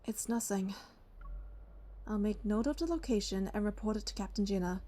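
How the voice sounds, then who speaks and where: An actress playing a devoted, protective young bodyguard heroine in a romance game, telling her story in an earnest, measured way. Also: mid-range voice, mellow, close to an everyday voice. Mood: focused, narrating. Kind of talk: romance game narration and dialogue.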